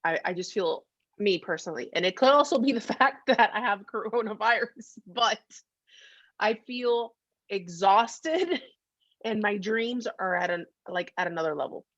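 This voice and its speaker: A woman's voice: mid-range voice, animated, measured pace, a bit bright, no room sound. Playful, emphatic woman on lo-fi audio. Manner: playful, emphatic, repeats phrases. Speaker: podcast co-host. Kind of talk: casual chat. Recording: dull, lo-fi, dry audio.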